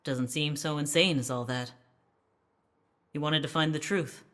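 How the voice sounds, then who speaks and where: Bright, forceful matter-of-fact questioner. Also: male voice, a little high, steady tone, unhurried. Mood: measured. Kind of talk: theatrical radio drama dialogue.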